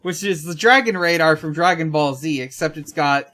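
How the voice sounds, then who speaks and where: A podcast host recapping a comic in casual, low-key talk with halting delivery. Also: a bit bright, slight background noise.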